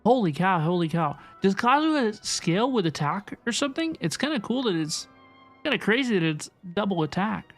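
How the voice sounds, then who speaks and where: A woman's voice: mid-range voice, sing-song, moderate pace. A woman giving casual, chatty playthrough commentary as a livestreamer, often repeating phrases for emphasis. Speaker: video game livestreamer. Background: soft game music.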